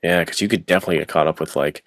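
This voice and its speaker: A man's voice: low voice, rapid-fire, dry recording, studio quality. A man co-hosting a podcast in casual, slangy conversation, often acting out imagined remarks. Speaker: podcast co-host.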